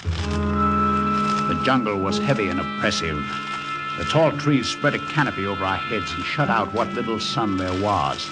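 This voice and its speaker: An American wartime airman in an old radio adventure. His delivery is urgent and clipped, swinging widely in pitch, with loud dramatic music on the vintage recording. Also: big pitch swings, measured pace. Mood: grim narration. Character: Harry, American wartime airman and agent recounting his mission. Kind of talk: 1950s radio adventure acting and narration.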